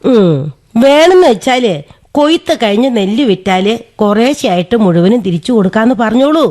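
A woman's voice: fairly high pitch, animated, a little fast, a little mellow, lightly acted. A woman with a Kerala accent playing a firm, elderly village aunt who runs the household in a radio drama. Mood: firm, practical. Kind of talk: Malayalam radio drama acting.

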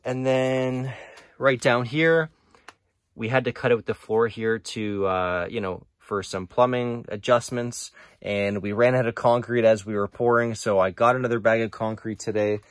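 A man's voice: mid-range voice, steady tone, unhurried, no echo, clean studio sound. Casual young man vlogging a renovation. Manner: casual, explanatory, says "guys" and "right?" often. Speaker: real estate investor vlogger. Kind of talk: casual walkthrough vlog. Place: basement under renovation, indoors. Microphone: phone camera held at arm's length.

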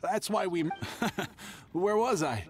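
A man's voice: high voice, animated, slow, a crowd in the background. A man playing a polite, flustered young traveling merchant who stammers and hesitates, in an anime-style video game. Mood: pleased, awkward. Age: young adult.

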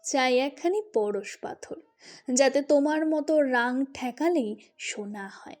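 A woman voicing a witty, teasing, sharp-tongued married sister-in-law in an audio storybook, with pointed bantering retorts. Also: high voice, slow, faint music behind. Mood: playful retort.

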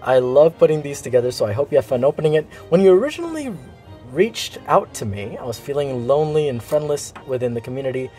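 A man's voice: medium pitch, fast, faint music behind. A young man unboxing a gift package at his desk, swearing and laughing as he goes. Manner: excitable, swearing, laughing often. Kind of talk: casual unboxing vlog. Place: indoors at a desk.

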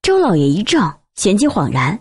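A woman reading a novel aloud as an expressive, scripted audiobook narrator. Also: mid-range voice, moderate pace, slightly rough, no room sound, clean studio sound.

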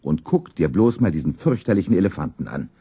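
A man's voice: sits low, big pitch swings, fairly quick, dark tone, slightly rough, full character voice. A man voicing a nagging, exasperated shopkeeper's wife in a children's story. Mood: scolding. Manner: scolding, nagging.

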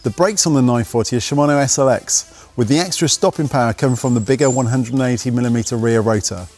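A young man narrating a scripted mountain bike review in a brisk, technical, matter-of-fact way, speaking outdoors in woodland on a lapel mic. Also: medium pitch, a little mellow. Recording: faint music underneath.

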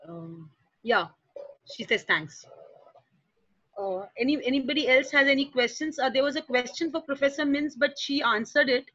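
Woman moderator on a call, high voice, polite and a bit hesitant, dull dry lo-fi audio. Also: normal speed, a bit bright, no echo. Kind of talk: moderating a video panel.

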